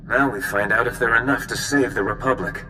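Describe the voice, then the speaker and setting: An actor playing a grave, determined army commander briefing in a video game. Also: male voice, mid-range voice, steady tone, fairly quick, background noise, natural voice. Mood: resolute. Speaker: military officer giving briefing. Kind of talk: video game cutscene acting. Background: music.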